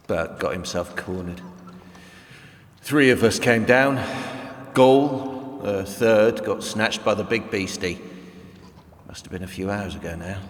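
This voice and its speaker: A man playing a wary, cynical scavenger with coarse, slangy, animated speech in a fantasy audio drama. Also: sits low. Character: rough, cursing male scavenger, wary and cynical. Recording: echoey cavern reverb, faint noise.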